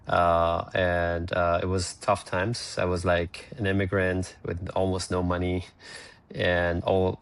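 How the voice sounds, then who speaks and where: A man's voice: sits low, creaky. A man from Iran, a startup founder telling his story plainly and bluntly as a remote podcast guest. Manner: blunt, plainspoken, filler-heavy. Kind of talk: casual interview storytelling. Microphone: remote call.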